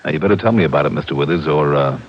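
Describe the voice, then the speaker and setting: A man's voice: rumbling low, racing. A man playing a tough, insistent insurance investigator with a clipped, probing manner in a 1950s radio drama. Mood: skeptical, pressing. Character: tough, hard-nosed male insurance investigator, insistent and skeptical. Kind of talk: 1950s radio detective acting. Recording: dull vintage radio recording.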